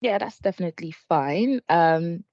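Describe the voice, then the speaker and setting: Low, warm-voiced woman from Nigeria. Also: expressive, normal speed, no room sound. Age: 20s. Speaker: panel guest, junior project manager. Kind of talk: casual panel talk. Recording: dull lo-fi sound.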